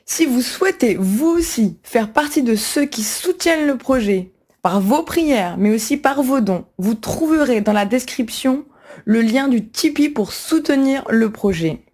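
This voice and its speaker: Woman presenter with a slightly high voice. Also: animated, measured pace, darkish tone. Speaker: presenter introducing the video. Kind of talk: scripted thank-you announcement.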